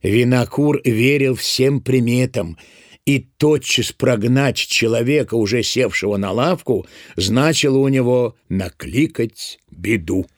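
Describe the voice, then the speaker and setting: A man's voice: medium pitch, unhurried, no room sound. A man narrating a classic literary tale as the storyteller of a Russian audio play.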